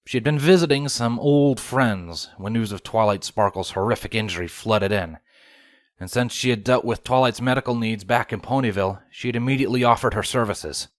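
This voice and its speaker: A man narrates a written story in a fairly low voice. The recording is close and dry, with the scripted delivery of an audiobook-style reader. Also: no echo. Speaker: fan fiction reader.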